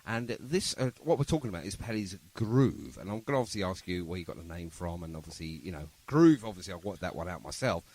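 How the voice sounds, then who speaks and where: A man's voice: deep voice, lively intonation, quick pace, hardly any pauses, darkish tone, breathy edge. A man hosting a podcast in casual interview chat, with a rambling, hesitant way of phrasing his questions. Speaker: podcast host.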